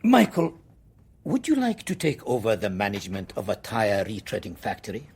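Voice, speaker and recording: An actor playing a suave, faintly menacing Syrian minister in a radio drama, husky and formally polite. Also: male voice, fairly low pitch, huge pitch swings, moderate pace, a little mellow, heavily acted. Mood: suave, pointed.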